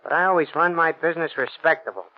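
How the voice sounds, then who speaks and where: A male actor plays a petty American bootlegger in an old radio play. His voice sits fairly high, and he grumbles in uneasy underworld slang. The 1930s recording is dull and muffled. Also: fast talker, lightly acted. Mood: uneasy, defensive. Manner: slangy, complaining tough talk. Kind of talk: 1930s radio drama acting.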